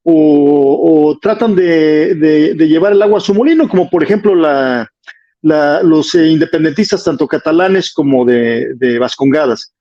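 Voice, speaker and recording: A man from Mexico City with a Mexican accent, a radio host interviewing a professor, halting with many fillers. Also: a little high, mellow, muffled recording. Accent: Mexican Spanish.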